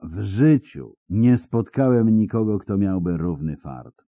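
Cold, taunting interrogator voiced by a male narrator, low and dark, with sneering swings in his pitch. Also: animated, a little slow, no echo. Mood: sardonic. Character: cruel, mocking middle-aged male interrogator. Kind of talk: audiobook character reading.

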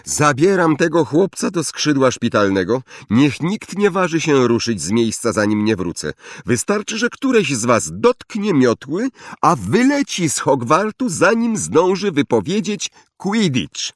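A man voicing a brisk, strict female flying teacher at a wizard school, giving emphatic commands in an audiobook. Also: on the high side, very animated, dry recording, clean studio sound, pitched above the performer's own voice. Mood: stern. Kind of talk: audiobook character voice.

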